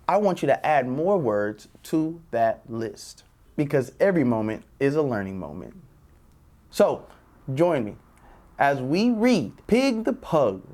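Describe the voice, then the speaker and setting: A man hosting a children's read-aloud, addressing young viewers directly with drawn-out emphasis. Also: fairly high pitch, darkish tone. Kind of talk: presenting and reading a children's picture book.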